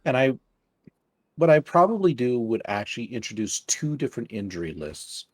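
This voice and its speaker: A man's voice: mid-range voice, very animated, normal speed, darkish tone, husky, no room sound. A man running a tabletop game, talking things over casually with his players in an easygoing, reassuring way. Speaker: game master.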